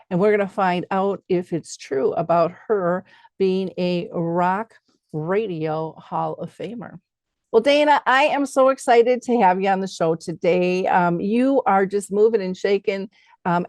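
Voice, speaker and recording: A woman's voice: fairly low pitch, a little mellow, no echo. A middle-aged woman with a chatty, affirming manner, hosting a conversational interview. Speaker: interview show host.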